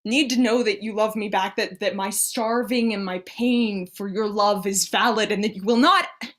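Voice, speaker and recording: An actress playing an anguished, devout woman who pleads with and challenges God in halting, impassioned speech, in a staged play reading. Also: medium pitch, fairly even intonation, lightly acted. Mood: grieving, confessing. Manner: halting, impassioned, swinging between hushed and pleading.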